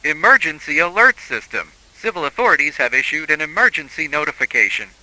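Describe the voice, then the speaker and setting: A man's voice: on the high side, expressive, brisk, bright, forceful tone, rough recording. A man reading scripted emergency alerts as a broadcast announcer, in a formal, solemn manner.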